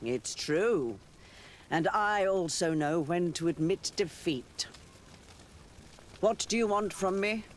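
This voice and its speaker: Shrewd political rival, game cutscene, slow delivery, fairly high voice, sardonic, knowing, some background noise. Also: male voice, lively intonation. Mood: conceding. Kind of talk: video game cutscene acting.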